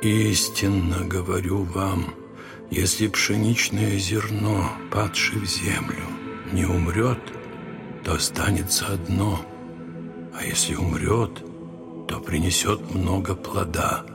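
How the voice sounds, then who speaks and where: A solemn, dramatic recitation of scripture. His voice is very low and gravelly. He measures out each phrase slowly over music. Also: fairly even intonation, full character voice. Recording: music behind the voice.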